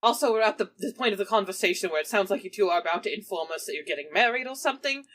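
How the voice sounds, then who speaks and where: A woman's voice: medium pitch, rapid-fire, fairly bright tone. A woman playing a tabletop game, switching between casual improvised role-play and wry, chatty table banter.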